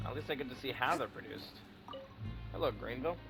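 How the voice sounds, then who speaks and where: A man's voice: mid-range voice, lively intonation, brisk, creaky, low-quality recording. A young man giving casual, joking and sweary commentary while he plays a game. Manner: sweary, joking, reads game text aloud. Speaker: gamer commentating a playthrough. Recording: game music behind the voice.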